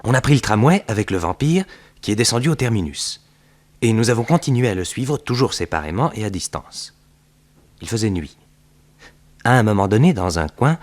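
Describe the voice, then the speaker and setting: A fairly low male voice plays the loyal sidekick of a detective. He tells the case in a measured, matter-of-fact manner, as in a 1960s French radio serial. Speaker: narrator and sidekick.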